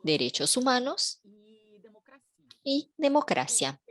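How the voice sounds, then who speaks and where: Slow, measured woman interpreter. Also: sits high, sing-song, a bit bright, no room sound. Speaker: simultaneous interpreter. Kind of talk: interpreting a panel talk.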